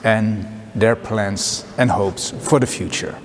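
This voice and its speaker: A man's voice: on the low side, slow. A man interviewing parishioners in a church, asking questions with many hesitations. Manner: hesitant, friendly questions with fillers. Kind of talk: church community video interview. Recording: long reverb, faint noise.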